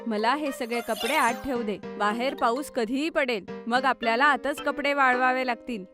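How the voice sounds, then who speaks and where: Woman playing a caring high-voiced cartoon mother. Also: steady tone, moderate pace, music in the background, lightly acted. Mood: patient. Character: caring, practical mother of two young children. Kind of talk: children's cartoon dubbing.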